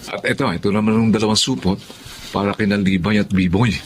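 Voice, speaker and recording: A man's voice: fairly low pitch, steady tone, brisk, a little hiss or hum, close to an everyday voice. An actor playing a kind, humble Filipino father figure in a radio drama. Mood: warm, cheerful.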